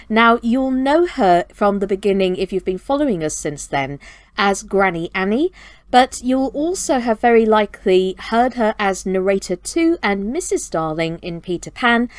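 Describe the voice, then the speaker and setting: A woman's voice: mid-range voice, no room sound. A woman hosting a children's storytime channel, giving a chatty, earnest update straight to her viewers. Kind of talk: chatty scripted update to camera.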